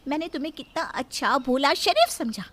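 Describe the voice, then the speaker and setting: Woman voicing a shrill, hot-tempered young daughter-in-law. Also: very animated, brisk. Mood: furious, accusing. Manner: scornful, sharp, accusing.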